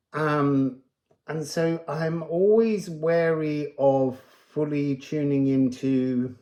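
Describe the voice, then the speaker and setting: A man's voice: mid-range voice, dark tone. A man giving an unscripted spiritual card reading to viewers, musing and wandering from thought to thought. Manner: musing, digressive, breaks into chanted phrases.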